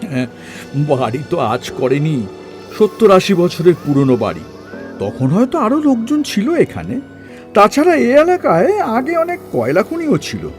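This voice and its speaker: A man's voice: high voice, huge pitch swings, moderate pace, faint music behind. A man acting a cautious, reasoning senior man who leads a trek in an audio story, musing and explaining as he goes.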